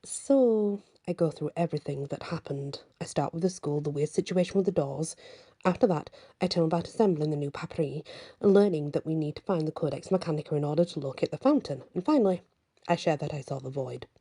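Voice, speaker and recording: Woman, storyteller, low register, husky, soft bedtime ASMR reading. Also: a little fast, no echo. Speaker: storyteller, audiobook reader.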